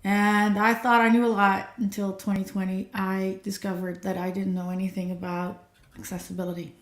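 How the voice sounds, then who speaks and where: A talkative woman, speaking with lively energy as a guest on a live stream. Her voice comes through a video call in a roomy, echoing space, with a medium pitch. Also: normal speed. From Netherlands. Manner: chatty, energetic, self-described nonstop talker. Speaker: guest, accessibility coach. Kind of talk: livestream guest intro.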